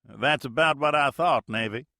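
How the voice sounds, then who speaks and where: A blustering ship's captain with a put-on Mississippi drawl, booming and high-pitched. The voice is bright and close, and it is recorded dry, with no room sound at all. He talks like a friendly good old boy hailing an aircraft for an escort. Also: moderate pace, no room sound. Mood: folksy bluster. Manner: boisterous, folksy, loud over the radio. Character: Soviet Army major faking a folksy Southern American drawl. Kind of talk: audiobook character voice.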